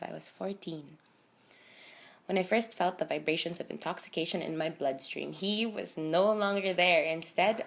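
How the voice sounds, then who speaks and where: Low-pitched young woman reciting slam poetry. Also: vocal fry. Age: early 20s. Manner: deliberate, emotive delivery with dramatic pauses and emphasis. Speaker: slam poet. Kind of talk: slam poetry recitation, dramatic spoken word.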